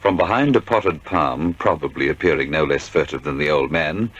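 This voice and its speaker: Deep-voiced male crime narrator. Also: normal speed, fairly bright tone, breathy edge, dull audio. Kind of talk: scripted dramatic crime narration.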